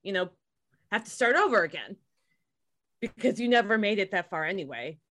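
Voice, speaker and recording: A woman's voice: mid-range voice, expressive, a little fast, a bit bright. A woman co-hosting a podcast and sharing anecdotes in casual conversation. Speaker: podcast co-host.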